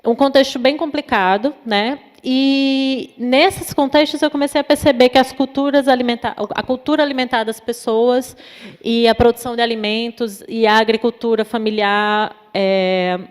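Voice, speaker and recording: A woman from Natal with a northeastern Brazilian accent, a psychologist giving an informal talk at a university event, pausing often with fillers. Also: fairly high pitch, fairly even intonation. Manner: many fillers, thoughtful and hesitant. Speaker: invited speaker, psychologist. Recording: long hall reverb.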